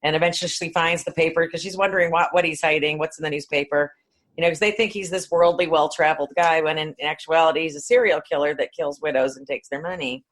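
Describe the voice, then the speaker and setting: A woman's voice: low-pitched, fairly even intonation, quick pace. A woman co-hosting a podcast, talking in a rambling, blunt, informal way. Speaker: podcast co-host. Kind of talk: casual film discussion.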